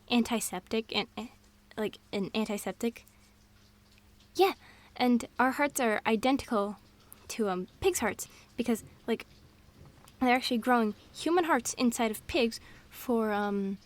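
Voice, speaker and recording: A woman in an intimate girlfriend roleplay, rambling through fun facts in a scatterbrained, excitable way. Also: a little high. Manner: scatterbrained, excitable, jumps between topics. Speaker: audio roleplay performer.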